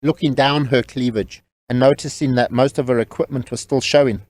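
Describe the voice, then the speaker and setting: A man's voice: medium pitch, steady tone, brisk, darkish tone, no echo. A man reading scripted novel narration as the storyteller of an audio drama.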